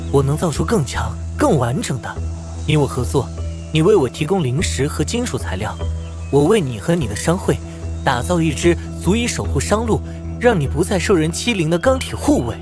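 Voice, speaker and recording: A man playing a shrewd, ambitious young mecha-builder hero, assured and persuasive, in an animated fantasy drama. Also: a little high, normal speed, music in the background. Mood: cool, assured.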